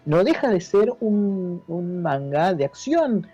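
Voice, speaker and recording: A man's voice: sits high, very animated, warm tone, breathy edge. A man with a Buenos Aires accent explaining things as an interview guest in casual talk, often repeating words and adding question tags. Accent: Argentine Spanish, Buenos Aires. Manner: halting, repeats words, seeks agreement with tags. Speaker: interview guest, history teacher. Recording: dull lo-fi, dry, music behind.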